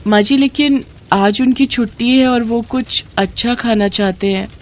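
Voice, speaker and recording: A woman's voice: a little high, a little slow, lightly acted. A woman voicing a meek, dutiful young daughter-in-law, speaking politely and hesitantly in a storybook tale.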